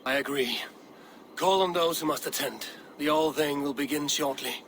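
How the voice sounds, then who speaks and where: Actor playing a steady young Viking warrior, firm and reassuring, with a bright, clear voice. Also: male voice, a little high, normal speed, a little hiss or hum, lightly acted. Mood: commanding.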